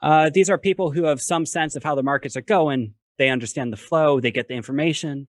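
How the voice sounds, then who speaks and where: A man speaking as a guest expert on a video call, explaining step by step in a measured, academic way with worked examples. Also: on the high side, dry recording, clean studio sound. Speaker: finance professor, guest expert. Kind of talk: explanatory talk on a livestream.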